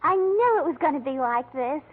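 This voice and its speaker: A woman's voice: high-pitched, lively intonation, moderate pace. A woman playing a scatterbrained, flustered secretary who puts on prim airs and mangles big words, in a 1940s radio comedy style. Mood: eager, dithering. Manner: affected, malapropism-prone. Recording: muffled, band-limited 1940s radio recording.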